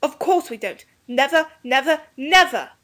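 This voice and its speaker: A woman's voice: high-pitched, moderate pace, pitched above the performer's own voice. A woman voicing a bold, cheeky young boy who eagerly takes command in a children's storybook. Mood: defiant shouting.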